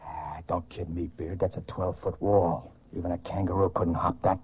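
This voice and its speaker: An actor playing a skeptical, streetwise prison inmate with a husky voice in a 1940s radio drama. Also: male voice, low-pitched, steady tone, normal speed. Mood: doubtful, teasing. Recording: muffled 1940s radio broadcast recording.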